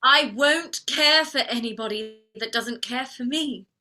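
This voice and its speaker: A woman's voice: fairly high pitch, measured pace, sharp tone. A woman playing a proud, wounded young flower girl turned lady in a theatrical play reading, defiant and plaintive. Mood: hurt, reproachful. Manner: defiant, plaintive, emotionally outspoken.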